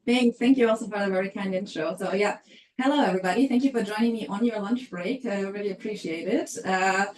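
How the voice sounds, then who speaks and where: A woman's voice: mid-range voice, fairly quick, a little mellow, roomy sound, far from the mic, poor audio. A middle-aged woman from Germany with a German accent, presenting an HR webinar in a conversational way, with many fillers.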